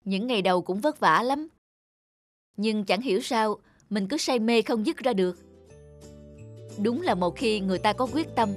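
A woman's voice: mid-range voice, lightly acted. A woman playing an earnest, determined young male fish farmer in a cartoon. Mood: modest pride. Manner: earnest, resolute. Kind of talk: cartoon dub acting.

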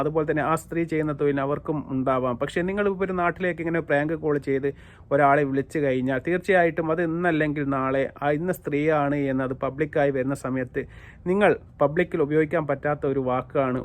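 A Malayali man with a Kerala accent delivers opinionated online commentary in a fairly high voice. He is emphatic and repeats his points, speaking directly to his viewers. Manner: emphatic, repetitive, addressing listeners directly. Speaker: YouTube commentator.

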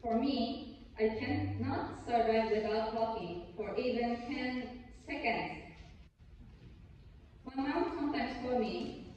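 A young Japanese woman with a Japanese accent giving a memorized speech at a lectern on an auditorium stage, earnest and a bit halting. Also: a little high, a little mellow, a little hiss or hum. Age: young adult. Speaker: student speaker. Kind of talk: memorized speech contest talk. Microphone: lectern mic, filmed from far in the hall. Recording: distant, echoey hall, dull sound.

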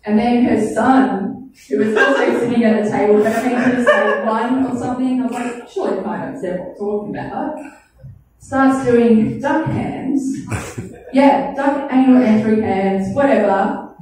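A woman's voice: fairly high pitch, moderate pace, a little mellow, a little hiss or hum. A woman doing a rambling, crude, self-deprecating stand-up set, often laughing at her own jokes. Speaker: stand-up comedian. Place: small comedy club stage. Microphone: handheld stage mic, filmed from the audience. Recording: distant, echoey club room with faint ambience.

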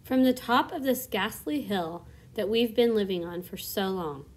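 A woman voicing a kind and gentle female ladybug in a storybook reading, speaking in a soothing, reassuring way. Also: medium pitch, expressive, normal speed, natural voice.

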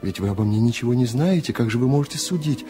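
A man's voice: mid-range voice, lively intonation, quick pace, mellow, soft background music, lightly acted. A man voicing a curious, teasing young woman student who questions her admirer in a literary radio reading.

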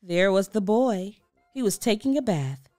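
A woman reading a children's book aloud with expressive, deliberate storytelling. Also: medium pitch, dry recording, studio quality, faint music behind. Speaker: storybook reader. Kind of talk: children's read-aloud narration.

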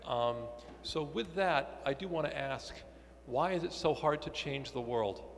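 A man's voice: fairly high pitch, measured pace, vocal fry. A man in an audience putting a question to a speaker at a live event. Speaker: audience member asking a question. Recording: echoey hall with long reverb.

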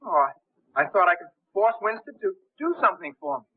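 An actor playing a desperate, agitated young gambler in a 1930s film, husky-voiced, on a tinny old soundtrack. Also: male voice, high-pitched, big pitch swings, a little fast, exaggerated voice. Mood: panicked. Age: young adult.

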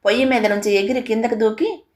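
A woman's voice: a little high, brisk, darkish tone. A woman, narrating a scripted children's moral story as a storyteller.

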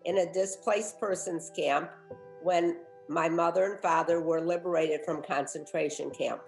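A woman in her seventies talks thoughtfully from home over a laptop webcam. Her voice sits fairly low, with faint music beneath. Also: steady tone, a little slow, gravelly, darkish tone, poor audio. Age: 70s. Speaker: interviewee. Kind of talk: interview. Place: home, video call.

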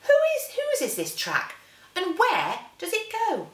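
A woman voicing a brave yet wary young monster girl from a storybook, with drawn-out, wondering questions. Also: very high voice, huge pitch swings, pitched above the performer's own voice, heavily acted. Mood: curious wonder. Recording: roomy living-room echo.

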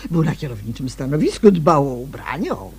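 A woman's voice: low voice, sing-song, a little fast, crowd noise. An actress playing a chatty, flustered female neighbour who repeats herself in a radio play. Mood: gossipy. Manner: hesitant, repeats words, gossipy.

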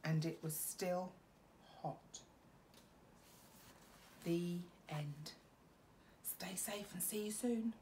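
A middle-aged woman reading a children's bedtime story expressively, with dramatic pauses. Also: on the low side, warm tone, slight background noise. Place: small room at home.

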